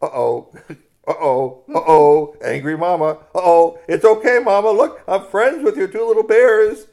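A man voicing a cheerful, gentle outdoorsman who talks in a friendly, coaxing way in a storybook tale. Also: mid-range voice, expressive, moderate pace, close to an everyday voice.

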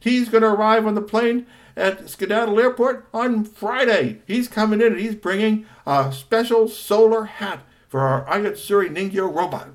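Man acting excitable cartoonish dad, pitched high. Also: normal speed, close to an everyday voice. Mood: eager. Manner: gushing, repeats himself, emphatic. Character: excitable, boyish scientist father, quick to scold. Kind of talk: children's storytelling character voice.

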